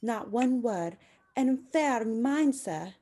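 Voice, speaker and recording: A woman acts out a humble, anxious country mother for a radio play. She is pleading and deferential, folksy in speech, with a mellow tone. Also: on the high side, expressive, slow pace. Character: humble, anxious village seamstress, worried mother.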